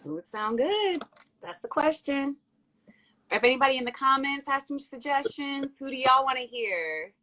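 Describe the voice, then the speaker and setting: A woman's voice: sits high, expressive, moderate pace, bright, forceful tone. A young woman hosting a casual interview over a video call from a room at home, upbeat, chatty and playful.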